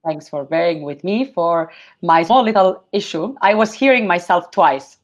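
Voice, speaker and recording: A woman's voice: fairly low pitch, normal speed. A woman hosting a live video interview through a headset, chatty and a bit flustered. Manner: chatty, excitable, a little flustered. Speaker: host. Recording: dull lo-fi call audio.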